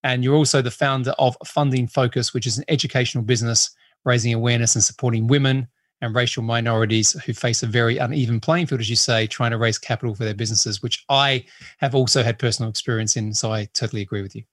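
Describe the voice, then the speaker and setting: Chatty host with a hybrid Australian accent. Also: male voice, mid-range voice, fairly even intonation, quick pace, dry recording, clean studio sound. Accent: hybrid Australian, English and American. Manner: enthusiastic, chatty, laughs easily. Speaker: podcast host. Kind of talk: business interview.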